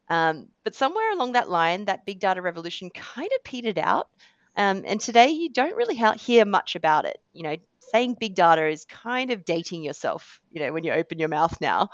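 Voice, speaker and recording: A woman's voice: fairly low pitch, dry recording. A woman in her forties with an Australian accent, giving a chatty, self-deprecating webinar talk with many fillers. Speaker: guest speaker. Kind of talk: webinar presentation. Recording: dull, dry lo-fi sound.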